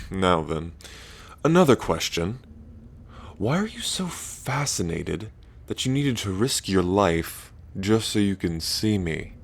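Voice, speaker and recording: A man's voice: sits low, gravelly, full character voice. A man playing an ancient dragon king in human form, smug and teasing, with a drawling, taunting delivery in a roleplay ASMR. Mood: intrigued.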